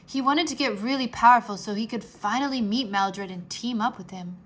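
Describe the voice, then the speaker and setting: Storybook boy wizard, played by a woman: earnest, wistful but determined, fairly high voice, hurried delivery. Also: lightly acted. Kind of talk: children's storybook character voice.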